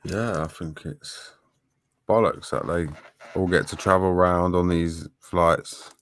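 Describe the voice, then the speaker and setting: A man's voice: deep voice, fairly even intonation, slow pace. A man chatting casually as a guest, blunt and offhand, swearing freely. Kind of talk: casual chat.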